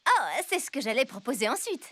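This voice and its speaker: A woman voicing an enthusiastic, expressive cartoon girl with a theatrical flair in a French dub. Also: high voice, fast talker, heavily acted. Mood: lively, chatty. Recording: clear music behind the voice.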